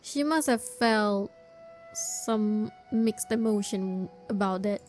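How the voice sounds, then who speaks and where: A woman's voice: mid-range voice, slow, darkish tone. A woman streaming a game, giving casual reaction commentary on the story. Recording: music behind the voice.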